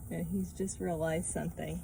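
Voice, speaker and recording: A woman's voice: lowish voice, gravelly, background noise. A woman reading a picture book aloud to children, with casual asides and simple questions to the listener.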